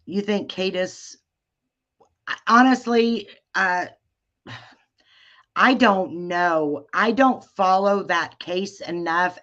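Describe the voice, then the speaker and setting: A woman with a deep voice hosting a casual live chat, talking hesitantly with many restarts. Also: fairly bright tone, muffled recording. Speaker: livestream host.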